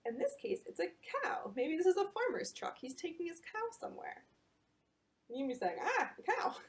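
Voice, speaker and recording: A woman librarian reading a picture book aloud at bedtime storytime and explaining it to children in an animated way. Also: high voice, brisk.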